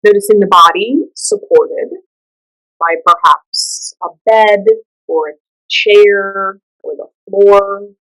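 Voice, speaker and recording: A woman leading a guided meditation, giving gentle instructions in a soothing, unhurried and conversational way. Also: mid-range voice, steady tone, low-quality recording.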